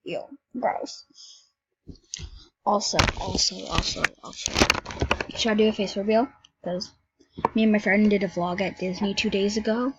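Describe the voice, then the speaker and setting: A woman commenting on a game she plays, excitable and jumping between shrieks and hushed whispers, sick and shivering with cold. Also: medium pitch, measured pace, long pauses, dull audio, very noisy. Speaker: game video creator. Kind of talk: casual gameplay commentary.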